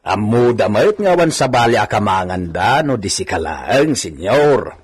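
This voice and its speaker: A man's voice: fairly low pitch, big pitch swings, measured pace, husky, full character voice. An actor playing a deferential, fawning servant answering his rich master in a radio drama.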